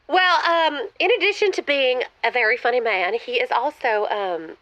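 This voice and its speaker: A woman's voice: sits high, expressive, normal speed, a bit bright, dry recording. A woman playing a hesitant, coy caller to a radio advice show, heard through a phone filter. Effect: phone line filter. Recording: muffled, narrow call-in phone line.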